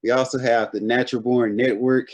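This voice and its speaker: Twenties guy chatting on a zoom podcast, laid back, rambles and hunts for words, average pitch. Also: brisk, muffled recording. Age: 20s. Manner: relaxed, rambling, searching for words. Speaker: guest artist. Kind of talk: casual podcast interview over video call. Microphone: video call.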